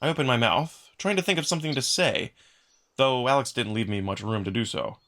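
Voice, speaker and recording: A man's voice: medium pitch, lively intonation, a little fast, gravelly, fairly bright tone. A man giving a dramatic first-person narration of a visual novel, acting as narrator. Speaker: narrator and voice actor.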